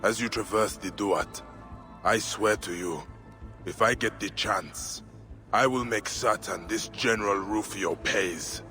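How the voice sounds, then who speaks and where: Grim Egyptian warrior, low-pitched, measured, solemn, formal, video game hero. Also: male voice, sharp tone, faint music behind. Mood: solemn vow. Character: grim male Egyptian warrior and protector, vengeful yet kind. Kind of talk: video game cutscene acting.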